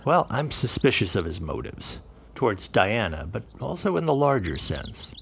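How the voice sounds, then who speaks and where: Earnest, gravelly, low-voiced newspaperman. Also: very animated, moderate pace, a bit bright, a little hiss or hum, full character voice. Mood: earnest, suspicious. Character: earnest, courteous, suspicious newspaperman. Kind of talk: period audio drama acting.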